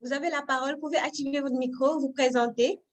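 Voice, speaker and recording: A woman's voice: sits high, steady tone, fast, a little hiss or hum. A woman moderating an online training session over a video call, politely handing the floor to participants, with small hesitations. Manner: polite, hesitant, repeats words.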